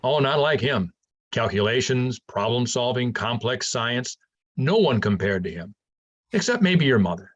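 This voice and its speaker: An actor playing a kindly older professor in a staged play, patient and wry, explaining things conversationally. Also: male voice, mid-range voice, sing-song, a little slow, lo-fi sound. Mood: fond, earnest. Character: kindly older male professor, gentle, fond, wry mentor. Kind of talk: staged reading of a science fiction play.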